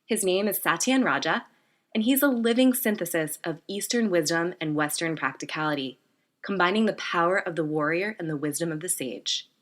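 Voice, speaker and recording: A woman's voice: fairly low pitch, normal speed, a bit bright. A woman hosting a podcast, reading a poetic intro and asking admiring questions. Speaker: podcast host. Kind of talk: scripted intro and interview.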